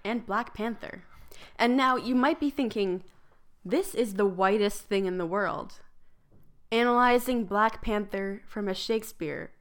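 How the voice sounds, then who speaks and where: A young woman with a lisp and a low voice, co-hosting a casual podcast with dry, teasing humor. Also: measured pace. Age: young adult. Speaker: podcast co-host. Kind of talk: casual chat.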